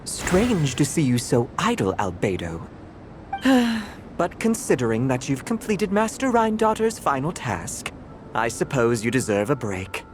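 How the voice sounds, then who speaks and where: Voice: mid-range voice, sing-song, a little slow, noisy background. An actor voicing a fussy, proud male cat butler in a video game, speaking in a pompous, formal and theatrical way. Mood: dry, haughty.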